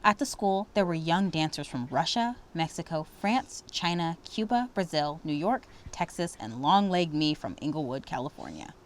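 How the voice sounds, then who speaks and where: A woman reading a children's storybook aloud in an expressive, dramatic way. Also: deep voice, moderate pace, few pauses. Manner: animated, dramatic. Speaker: storybook reader.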